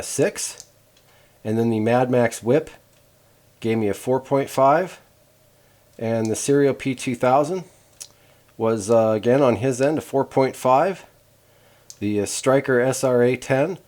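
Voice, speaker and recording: A man's voice: medium pitch, a little mellow. A man giving a casual, rambling hands-on demonstration as he explains gear, in an informal manner. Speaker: presenter of CB radio antenna tests.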